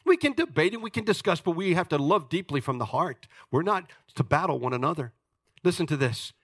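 Pastor, man, 60s; high, bright voice, dry recording, emphatic and humorous sermon delivery. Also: big pitch swings, a little fast, slightly rough, no room sound. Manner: emphatic, jokey preaching with wide swings in loudness.

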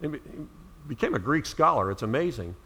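A man's voice: a little high, big pitch swings, fairly quick, creaky, bright, forceful tone, slightly rough. A middle-aged man from Ohio preaching a sermon as pastor, earnest, with emphatic rises on key words.

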